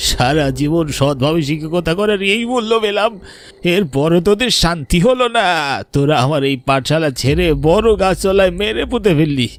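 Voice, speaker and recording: A man's voice: fairly high pitch, lively intonation, moderate pace. A man voicing the vengeful ghost of a murdered village teacher, menacing and bitter in a cartoon. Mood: bitter accusing. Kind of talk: cartoon voice acting.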